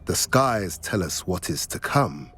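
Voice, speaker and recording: An actor playing a wise old male centaur who reads the stars, speaking in a measured, cryptic way in a video game. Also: low-pitched, animated, a bit bright, soft background music. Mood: solemn. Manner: slow, measured, solemn and riddling.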